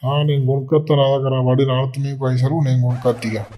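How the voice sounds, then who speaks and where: A man's voice: medium pitch, steady tone, racing, noisy background, natural voice. A man voicing a grumbling, sarcastic village father in a comedy cartoon, with a Telangana accent. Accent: Telangana Telugu. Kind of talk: Telugu comedy cartoon dialogue.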